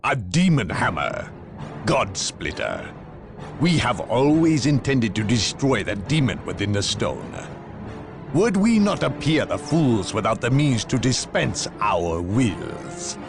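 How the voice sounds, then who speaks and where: A commanding, pious inquisitor for a sci-fi video game. The delivery is formal and grave with a very lively melody, at a medium pitch, over clear background music. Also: male voice, exaggerated voice. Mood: solemn. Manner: measured, formal, authoritative. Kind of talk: video game dramatic acting.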